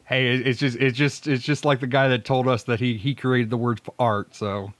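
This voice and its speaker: A man's voice: medium pitch, steady tone, normal speed, dry recording. A man co-hosting a podcast with casual, joking, sarcastic banter. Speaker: podcast co-host and producer.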